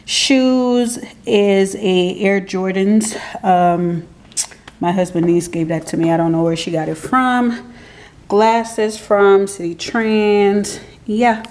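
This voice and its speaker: A woman in her thirties with a faint Jamaican accent, talking casually to her camera in a slow, relaxed, chatty way. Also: mid-range voice, roomy sound. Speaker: vlogger. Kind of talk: casual vlog chat. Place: living room indoors, then a parked car.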